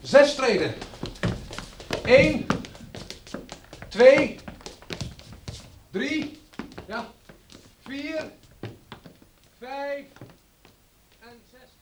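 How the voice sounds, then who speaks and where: An actor playing a reluctant captive who guides others, dry and sardonic, in a Dutch radio play. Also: male voice, extremely high pitch, animated, extremely slow, pauses often, noisy background, heavily acted. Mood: tense, guiding.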